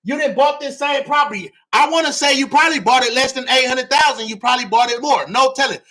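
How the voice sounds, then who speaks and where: A man giving a fired-up livestream lecture, loud and often yelling, repeating phrases and asking the audience to answer, his voice strained from shouting. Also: extremely high pitch, fast. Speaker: livestream real estate teacher.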